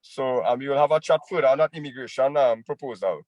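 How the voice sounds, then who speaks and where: A man's voice: medium pitch, quick pace, darkish tone, no room sound, muffled recording. A man with a Trinidadian accent, a singer speaking earnestly as a guest on a video call, often repeating words as he goes. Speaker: chutney soca singer, guest. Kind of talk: casual interview over a video call.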